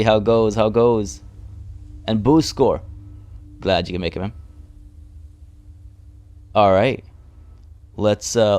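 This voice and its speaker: Slightly hoarse man streaming a game. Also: lowish voice, a little mellow. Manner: chatty, greets chat viewers by name. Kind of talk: casual live-stream game commentary. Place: home room by a window.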